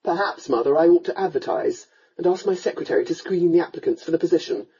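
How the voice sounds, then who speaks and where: A sardonic young lord, dry and quietly challenging, as a narrator puts him on for a storybook. The voice is mellow and husky and close to the narrator's own. A slightly dull, lo-fi recording. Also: medium pitch, fast talker.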